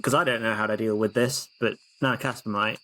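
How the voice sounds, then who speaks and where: A man's voice: on the low side, steady tone, fairly quick, fairly bright tone, low-quality recording. A man taking part in a tabletop roleplaying game, talking casually in and out of character.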